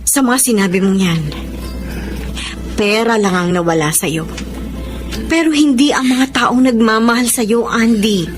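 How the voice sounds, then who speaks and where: A woman playing a caring, encouraging friend and colleague in a Filipino radio drama, with expressive delivery. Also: a little high, a little slow, long pauses, faint music behind, natural voice. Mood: earnest reassurance.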